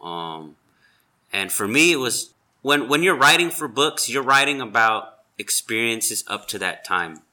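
A man's voice: mid-range voice, animated, unhurried, sharp tone. A man who is a chef, talking casually and animatedly, rambling with lots of fillers. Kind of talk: casual conversation.

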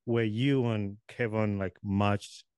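A man's voice: lowish voice, steady tone, slow pace, a little mellow, clean tone, no echo, clean studio sound. A man hosting a podcast interview over a video call, rambling and correcting himself with light chuckles. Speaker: podcast host. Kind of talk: casual interview chat.

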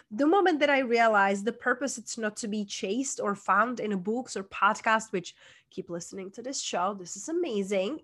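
A woman's voice: on the high side, a bit bright. A woman with a Czech accent, a guest coach telling her personal story in an interview, earnest and emphatic. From Czech Republic.

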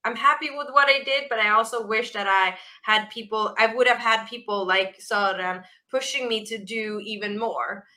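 A woman with a naturally raspy voice that still rings bright and forceful, pitched a little high. She talks loosely as an interview guest, laughing easily and circling back with fillers and restarts. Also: normal speed, hardly any pauses. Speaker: rock band vocalist, guest. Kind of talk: casual interview chat.

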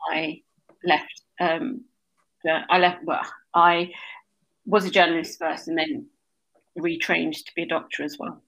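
A woman's voice: fairly low pitch, fairly even intonation, unhurried, gravelly, long pauses, a bit bright, rough recording. A middle-aged woman speaking as a guest in a casual podcast interview, thoughtful, with fillers and self-corrections. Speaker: guest, emergency doctor and journalist.

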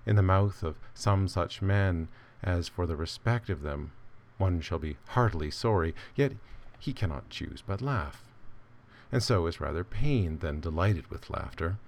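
A man reading a literary essay aloud with measured, deliberate phrasing. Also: sits low, animated, a little hiss or hum. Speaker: reader.

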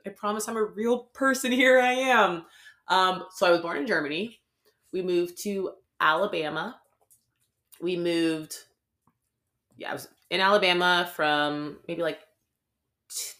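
Low-voiced young woman, rambling chat. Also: pushed and bright. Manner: chatty and rambling, many "like" fillers. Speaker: podcast co-host. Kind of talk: casual podcast chat.

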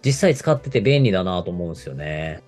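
A man's voice: low-pitched, sing-song, quick pace, a little mellow. A man of about forty from Japan, co-hosting a radio show and explaining things in casual conversation. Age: around 40. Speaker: radio show co-host, marketer. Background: background music.

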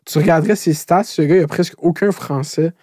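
Un jeune homme à l'accent québécois, voix légèrement aiguë, timbre sombre et moelleux, débit cool et familier, enregistrement sans réverbération. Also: male voice, a little high, fast, darkish tone, dry recording, clean studio sound. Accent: Québécois French. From Quebec. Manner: laid-back, slangy. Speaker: YouTuber, podcast co-host. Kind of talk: casual chat.

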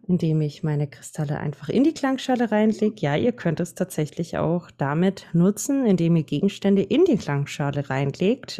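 A woman in her late twenties with a Franconian German accent, a podcast guest explaining things at length in a relaxed way. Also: on the low side. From Franconia, Bavaria. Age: 20s. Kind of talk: casual podcast chat.

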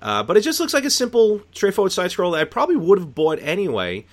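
A man's voice: high-pitched, wildly swooping pitch, fast. A man co-hosting a casual, unscripted podcast about retro games, rambling and correcting himself as he goes. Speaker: podcast co-host.

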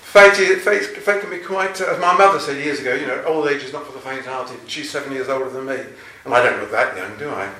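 Older man, bright voice, roomy sound. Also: medium pitch, fast talker, far from the mic. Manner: chatty, anecdotal, self-deprecating. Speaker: novelist. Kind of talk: interview answers. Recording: roomy, from off-mic.

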